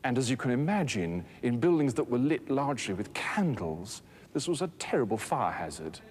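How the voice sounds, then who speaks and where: A man in his thirties presenting a scripted educational documentary in a measured, articulate, expository manner. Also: mid-range voice, very animated, darkish tone, breathy edge. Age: 30s. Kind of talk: scripted educational narration on architecture. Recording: noisy old documentary film soundtrack.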